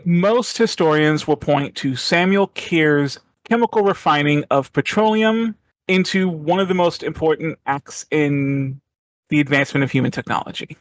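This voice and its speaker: A man runs a tabletop horror game as game master, laying out the setting in a loose, rambling way with plenty of fillers. His voice sits a little high. It is recorded close and dry, with no room sound. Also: moderate pace, dry recording. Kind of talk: casual tabletop game narration.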